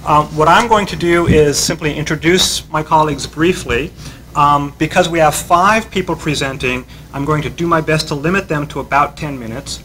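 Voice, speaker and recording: Bright-toned man moderating a panel. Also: fairly high pitch, low-quality recording. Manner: halting, with many fillers. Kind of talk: conference introduction. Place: conference hall.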